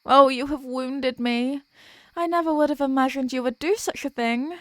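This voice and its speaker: Woman voicing a theatrical high-voiced vampire girlfriend. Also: moderate pace, fairly bright tone, no echo. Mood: mock wounded. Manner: theatrical, pleading, mock-tragic. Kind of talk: scripted roleplay audio monologue.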